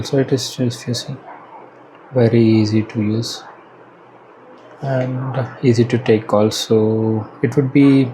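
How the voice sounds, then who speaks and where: A man with an Indian accent giving casual unboxing and installation commentary as a product reviewer, halting and hesitant as he thinks aloud. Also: mid-range voice, dark tone. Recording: clearly noisy background ambience.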